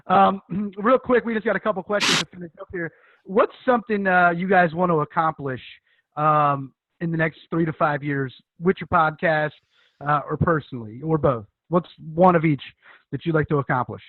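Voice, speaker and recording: Male podcast host, high-pitched, loose and jokey; tinny dry phone-quality line. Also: normal speed, no room sound. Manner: loose, joking, filler-heavy. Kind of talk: casual chat.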